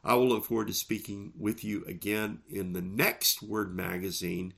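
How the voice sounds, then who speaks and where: Male podcast host, low gravelly voice, earnest measured delivery with emphasis. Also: little pitch movement, fairly bright tone. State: gravelly voice from recent illness. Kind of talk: solo podcast commentary on Bible texts.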